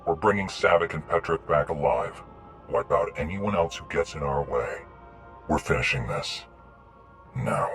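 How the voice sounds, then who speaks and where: A deep-voiced, commanding military officer. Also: male voice, animated, unhurried, a bit bright, faint music behind, exaggerated voice. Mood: resolute. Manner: terse, authoritative. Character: commanding, self-assured male military commander. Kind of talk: video game cutscene acting.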